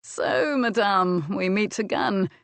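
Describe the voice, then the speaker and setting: A woman's voice: medium pitch, slow, no echo. Woman acting a sneering, imperious villainous nobleman. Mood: sneering, gloating. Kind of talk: audiobook character acting.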